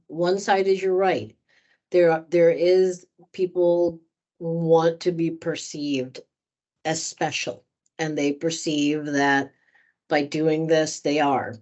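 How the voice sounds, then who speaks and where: A woman's voice: sits low, a little mellow, dull audio. A woman trainer answering questions in a conversational workshop, candid and thoughtful, speaking at length. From United States.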